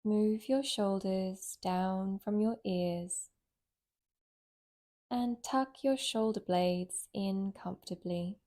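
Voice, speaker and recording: A woman leading a guided relaxation in a gentle, soothing, unhurried way with long pauses. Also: medium pitch. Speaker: meditation guide, yoga nidra teacher.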